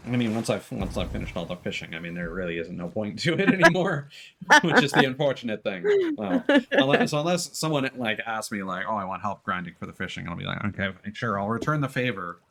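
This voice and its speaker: A man's voice: on the low side, expressive, fairly quick, gravelly, fairly bright tone. A man hosting a game livestream, chatting casually in an easygoing, hesitant way with trailing sentences. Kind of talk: casual gaming chat.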